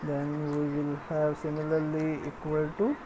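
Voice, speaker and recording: A woman giving a step-by-step programming tutorial, dictating in a halting, piecemeal way as she works. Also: low-pitched, completely flat delivery, noisy background. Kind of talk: step-by-step screencast tutorial, spoken while typing.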